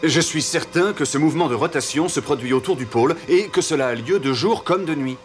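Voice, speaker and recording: A man playing a calm, confident male explorer and ship's admiral in a cartoon, speaking in a measured, assured way. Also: a little high, natural voice. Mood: reassuring, explaining. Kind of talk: French animated history series dubbing, partly narrated. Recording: music behind.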